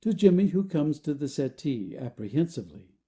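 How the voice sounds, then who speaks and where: A man's voice: fairly high pitch, very animated, fairly quick, mellow. A man reading stage directions as the narrator of a scripted play.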